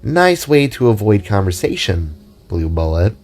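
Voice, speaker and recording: A man voicing a small, mischievous, warm-hearted female frog vigilante with an informal, affectionate way of talking. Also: on the low side, very animated, measured pace, heavily acted. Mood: teasing. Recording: music under the voice.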